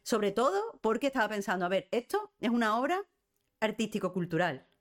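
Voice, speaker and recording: A woman's voice: mid-range voice, big pitch swings, brisk. A woman with a Spain Spanish accent, hosting a live stream with casual, ranting chat in a blunt, sarcastic, sweary manner. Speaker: host of a live cultural current-affairs show.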